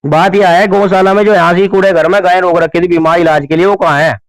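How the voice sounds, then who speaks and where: Activist guy w western UP accent, fairly high voice, unscripted complaint. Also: fairly even intonation, fast talker, low-quality recording. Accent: western Uttar Pradesh. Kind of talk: spontaneous spoken complaint.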